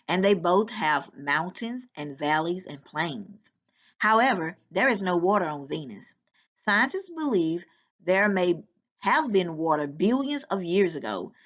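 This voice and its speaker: Slow, expressive woman reading aloud, slightly dull recording. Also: on the low side. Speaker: volunteer children's book reader. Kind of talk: children's read-aloud of a nonfiction book. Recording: dull, lo-fi sound.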